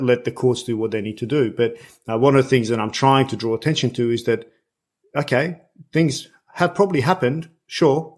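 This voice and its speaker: A man from Bosnia hosting an interview podcast, talking conversationally and repeating words as he forms his thoughts. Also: mid-range voice. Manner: halting, repeats words while forming thoughts. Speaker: podcast host.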